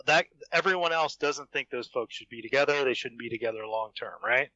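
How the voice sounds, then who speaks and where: A man's voice: medium pitch, fairly quick, bright, forceful tone, no echo. A man in a casual group podcast chat, speaking as a guest author. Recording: dull, lo-fi voice chat audio.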